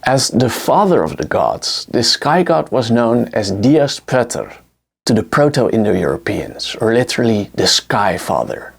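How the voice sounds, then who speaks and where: A man's voice: medium pitch, measured pace, vocal fry, slightly rough. A man with a Dutch accent, a native Dutch speaker, narrating a scripted history lesson in an explanatory way. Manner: explanatory, lively intonation. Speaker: history lecturer.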